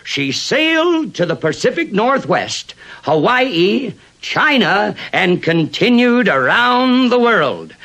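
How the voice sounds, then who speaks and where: A man delivering old-fashioned newsreel narration in a grand, ceremonious way. His voice sits high and swoops widely as he draws out every phrase slowly. There is a gravelly edge beneath a bright tone. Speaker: narrator of a vintage park film.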